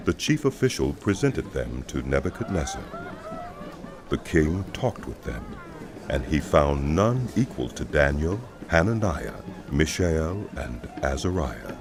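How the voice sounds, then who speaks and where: A man giving solemn, measured, scripted dramatic narration of scripture as a storyteller. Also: a bass voice, big pitch swings, gravelly, background noise.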